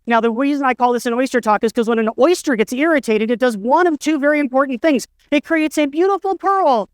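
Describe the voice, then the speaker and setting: A man's voice: very high voice, clear, resonant tone, no room sound. A middle-aged man giving a conference talk, telling stories with wry, self-deprecating humor. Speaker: conference speaker. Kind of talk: storytelling conference keynote. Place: conference stage, indoors.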